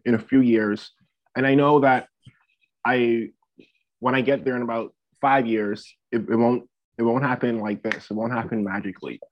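A man in his twenties from the Bahamas talking casually as a podcast guest, hesitant and repeating phrases as he thinks. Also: medium pitch. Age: 20s. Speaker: podcast guest, student athlete and entrepreneur. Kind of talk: casual interview talk. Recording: dull lo-fi audio.

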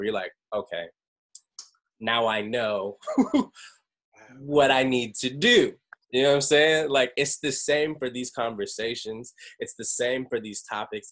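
A young man hosting a group call, talking casually and acting out quoted voices with laughs. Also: medium pitch. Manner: animated, acts out quotes, laughs. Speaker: host.

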